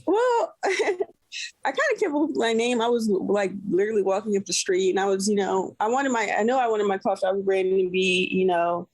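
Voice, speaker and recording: A woman's voice: mid-range voice, expressive, a little fast, a little mellow, dry recording. A woman from the San Francisco Bay Area, a guest chatting casually on a podcast and rambling with lots of filler words. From San Francisco Bay Area, USA. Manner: rambling, many fillers like "you know". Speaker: guest, cloth diaper brand owner. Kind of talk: casual interview chat.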